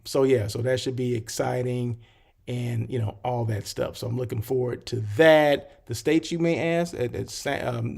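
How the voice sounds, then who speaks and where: A man hosting a live show about hotels, talking casually and rambling with many fillers. He is slightly hoarse and keeps clearing his throat. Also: medium pitch, a little mellow. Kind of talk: casual livestream chat.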